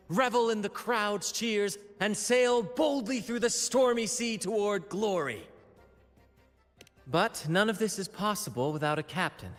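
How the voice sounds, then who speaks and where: Showy young sea captain for a game, lively pitch, a bit high. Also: male voice. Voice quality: showy, theatrical. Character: flamboyant theatrical young male ship captain. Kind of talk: video game voice acting. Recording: long hall reverb, faint music.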